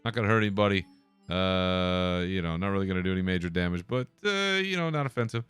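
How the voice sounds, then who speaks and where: Brief: male podcast host, smooth clear voice, relaxed solo chat, swears casually. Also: low-pitched, fast talker, no echo, soft background music. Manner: laid-back, swears, chuckles.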